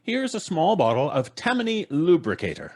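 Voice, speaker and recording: A man's voice: fairly high pitch, huge pitch swings, moderate pace, a little mellow, heavily acted. An actor playing a grumbling, stammering, sceptical father in an old-time radio comedy. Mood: blustering. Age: middle-aged. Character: blustering, practical, sceptical husband and father. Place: small home studio on a video call. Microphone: close studio microphone.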